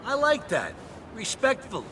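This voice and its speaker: Brash mafia don, Italian-American accent, high swooping voice, blustering, video game crime drama, vehicle noise behind. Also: male voice, huge pitch swings, a little slow, bright, forceful tone, exaggerated voice. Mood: jovial, slippery. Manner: blustering, theatrical, swearing.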